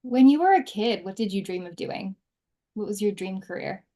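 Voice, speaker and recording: A woman's voice: medium pitch, expressive, moderate pace, dark tone. A woman speaking as the host of a conversational interview.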